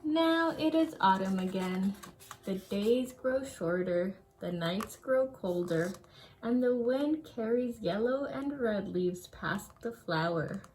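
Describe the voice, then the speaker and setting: Lady reading a kids book out loud, really slow and expressive, music playing behind her. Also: medium pitch, poor audio. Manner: expressive, with exclamations and questions to young listeners. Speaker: storyteller reading a picture book aloud. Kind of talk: children's read-aloud narration.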